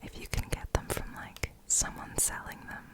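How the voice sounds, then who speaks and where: A young woman whispering softly while she practises a language, musing aloud and chuckling at her own slips. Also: moderate pace. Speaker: ASMR creator.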